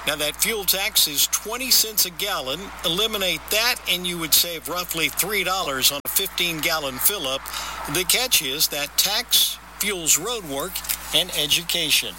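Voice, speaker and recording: A male reporter gives a scripted field report in a high voice. Clear car and street noise runs behind him outdoors. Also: animated, a little slow. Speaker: news reporter.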